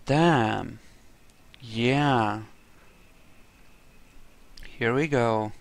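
A man's voice: mid-range voice, drawn-out words, creaky. A man giving casual gameplay commentary, muttering asides to himself as he plays. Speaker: gamer commentating on a playthrough.

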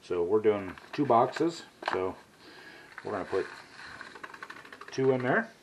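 A man's voice: fairly low pitch, very animated, takes it slowly, background noise. A middle-aged man, rambling in a folksy way as he cooks and talks in his home kitchen. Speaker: cooking vlogger. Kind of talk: casual cooking vlog.

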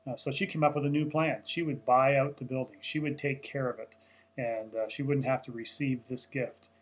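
Husky earnest pastor talking to camera. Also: male voice, medium pitch, fairly even intonation, normal speed. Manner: earnest, conversational, frequent uh fillers. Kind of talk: short sermon recap to camera. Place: small office, indoors. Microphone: webcam at desk, close framing.

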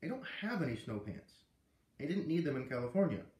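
A man's voice: fairly high pitch, very animated, a little fast, darkish tone. A man reading a storybook as an earnest, hesitant young schoolgirl, with a tentative manner. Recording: roomy, echoey home recording.

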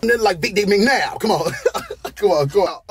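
A man's voice: sits high, animated, moderate pace. A man rapping in a studio recording booth into a condenser mic, playful and laughing between bars. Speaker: rapper. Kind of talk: freestyling in the studio. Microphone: studio condenser mic with headphones.

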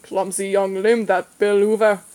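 A small, wizened old fisherman from a storybook, played by a woman narrator. He speaks gruffly, a crusty character with a kindly streak underneath. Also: mid-range voice, level tone, brisk, a little mellow, close to an everyday voice. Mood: cross. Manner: cross, gruff, grunting.

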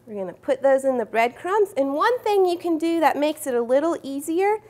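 Cooking show host: young woman, high voice, friendly step-by-step kitchen explaining. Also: animated, brisk, a little mellow. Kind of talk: cooking demonstration. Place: home-style studio kitchen.

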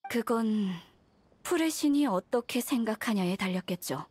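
A woman's voice: a little high, lively intonation, normal speed, darkish tone, breathy edge. A woman playing a polite, cautious young female negotiator in game dialogue, earnest and composed.

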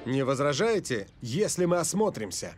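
A man voicing a stern, by-the-book cartoon investigator with an officious, deadpan delivery. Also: medium pitch, normal speed, loud music behind the voice, close to an everyday voice. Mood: businesslike. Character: stern, by-the-book male league disciplinary agent. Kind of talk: dubbed cartoon acting.

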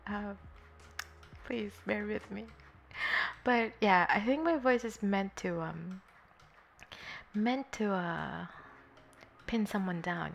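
This is a young woman chatting slowly to her stream while she sketches, with a gravelly fry running through her voice. She giggles often and wanders through her sentences with fillers, speaking close to a desktop microphone at home. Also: mid-range voice, lo-fi sound. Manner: giggly, rambling with many fillers. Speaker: streamer drawing live. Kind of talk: casual chat while drawing. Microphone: desktop USB microphone.

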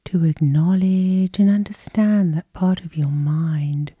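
Slow, whispery dark-voiced woman guiding sleep. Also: on the low side, steady tone, no room sound, clean studio sound. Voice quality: breathy, whispery. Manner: soft, slow, lulling. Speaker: hypnosis session host. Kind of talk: soothing sleep hypnosis guidance, ASMR.

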